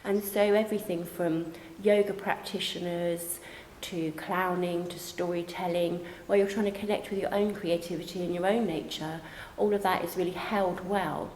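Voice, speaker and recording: A woman's voice: on the low side, steady tone, moderate pace, a little mellow, a little hiss or hum. A woman on a college's staff speaking earnestly and explaining things in an informal promotional interview. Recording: echoey reverberant room, music behind. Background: background music.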